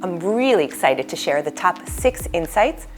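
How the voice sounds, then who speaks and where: A woman's voice: lowish voice, big pitch swings, normal speed. A woman presenting a report in a scripted, upbeat video introduction. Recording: music bed behind the voice.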